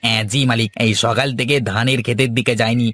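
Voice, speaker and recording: Cartoon estate overseer, played by a man, mid-pitched, a servant with some authority over field hands. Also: flat delivery, quick pace, sharp tone, a little hiss or hum, close to an everyday voice. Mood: deferential. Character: landlord's field overseer, deferential upward, bossy downward. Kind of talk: Bengali cartoon folk-tale acting.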